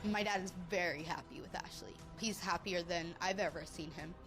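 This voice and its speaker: Low-voiced young woman over music. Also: normal speed. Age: young adult. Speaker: interviewee in a taped segment.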